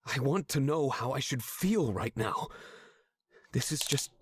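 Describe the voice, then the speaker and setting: A man playing a troubled, earnest young man in a video game, with a husky, hesitant delivery. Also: mid-range voice, expressive, unhurried, background noise, lightly acted. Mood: confused, subdued. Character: troubled, earnest young man confronting his father's usurper.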